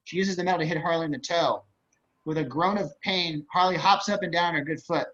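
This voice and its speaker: Bright, higher-voiced man narrating a script. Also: fast talker. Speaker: stage-direction narrator. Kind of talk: scripted narration, table read. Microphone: computer on a video call. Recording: dull, lo-fi video-call audio.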